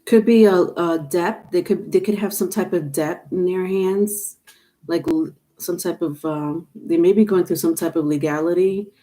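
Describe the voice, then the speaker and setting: A woman's voice: lowish voice, steady tone, moderate pace, mellow. A woman with a stuffed-up nose giving a live tarot reading on camera, rambling with many fillers and restarts. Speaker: tarot reader. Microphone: webcam or phone on camera.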